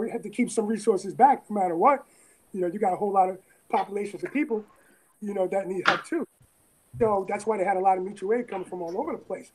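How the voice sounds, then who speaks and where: A woman from Brooklyn speaks with a raspy, breathy voice. Her tone is somewhat high but dark and mellow. She talks in a rambling, emphatic interview style and repeats her phrases. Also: low-quality recording. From Brooklyn, New York. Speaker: interviewee, former firefighter and EMT. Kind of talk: casual interview talk.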